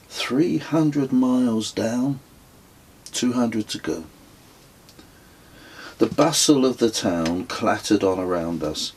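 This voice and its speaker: Husky, slow-paced man reading his memoir. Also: lowish voice, darkish tone. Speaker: author reading own memoir. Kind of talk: audiobook narration of a reflective travel memoir.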